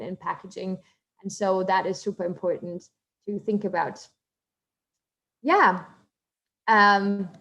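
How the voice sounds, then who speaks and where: A woman's voice: medium pitch, low-quality recording. Chatty German-accented woman. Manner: chatty, loose, lots of fillers and asides. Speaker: marine biologist guest speaker. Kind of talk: casual online talk.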